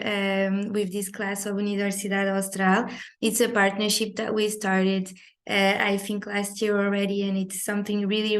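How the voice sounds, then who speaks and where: A woman from Portugal whose first language is Portuguese, giving a polite, measured guest presentation in a video class with frequent ums. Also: mid-range voice. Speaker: guest speaker, executive director. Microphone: video call.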